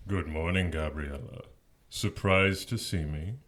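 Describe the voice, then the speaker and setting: A man's voice: low-pitched, a little slow, a bit bright. A man playing a smug, taunting, seductive fallen angel in an audio drama, with a teasing, sardonic drawl.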